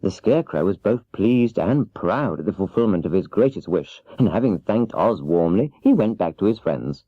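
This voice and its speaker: A man's voice: low voice, expressive, moderate pace, hardly any pauses, dry recording. A man reading a children's storybook aloud as a scripted audiobook narration. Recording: dull, lo-fi cassette transfer.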